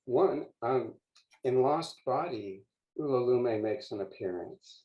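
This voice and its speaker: An older man, a poet guest, speaking in a measured, halting way on a video call from a home study lined with books. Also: mid-range voice, animated, dull audio.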